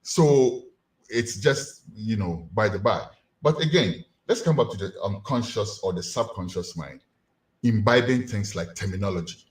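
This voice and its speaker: Animated Ghanaian man lecturing. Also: lowish voice, unhurried. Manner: animated, rhetorical questions to the audience. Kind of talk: community lecture.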